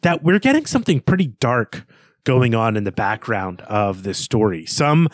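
A man's voice: mid-range voice, very animated, normal speed, no echo. A man co-hosting a podcast, talking through a literary analysis in a thoughtful, emphatic way into a close microphone. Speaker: podcast co-host. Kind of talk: literary discussion, conversational analysis.

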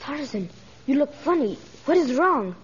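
An eager, boastful little jungle boy with a high, swooping voice. He speaks broken English in old radio serial style, on a dull, noisy recording. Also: sing-song, measured pace. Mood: curious. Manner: broken English, speaking of self in third person. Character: brave, boastful, eager young native boy.

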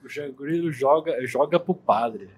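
Laid-back brazilian man, mid pitch. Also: normal speed, breathy edge. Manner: laid-back slangy talk, laughs often. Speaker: podcast co-host. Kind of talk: casual film review chat.

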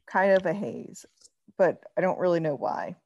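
A woman's voice: fairly low pitch, expressive, normal speed, a little mellow, dry recording, highs cut off. A young adult woman chatting informally as a podcast co-host, with a wry, teasing way of talking. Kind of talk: casual banter between siblings.

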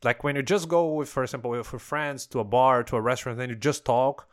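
A man's voice: medium pitch, fairly even intonation, quick pace. A man hosting a casual interview in non-native English, explaining his points and often restarting words. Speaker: podcast host.

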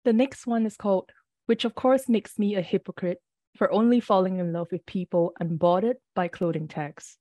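Woman poet, warm dry close voice, measured unhurried reading, a bit early-morning rough. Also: mid-range voice, fairly even intonation, no echo. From Singapore. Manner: measured, deliberate reading with brief asides. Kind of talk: poetry reading.

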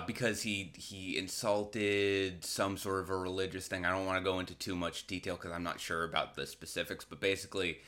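American male podcast host, bright punchy tone, sardonic and rambling, casual comic delivery. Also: deep voice. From Massachusetts, United States. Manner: rambling, irreverent, crude, sardonic. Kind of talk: casual comedic monologue.

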